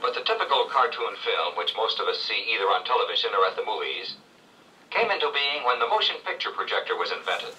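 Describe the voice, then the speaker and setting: A man reading scripted 1970s educational narration with measured, clear storytelling phrasing. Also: lowish voice, very animated, bright, forceful tone, recorded from a distance, low-quality recording. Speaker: read-along narrator. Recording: old read-along played back from afar, faint noise.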